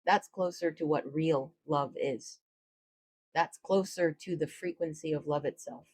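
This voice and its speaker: A woman with a low voice, a tarot reader gently explaining spiritual ideas in a casual, reflective talk to camera. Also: unhurried, slightly rough, no echo.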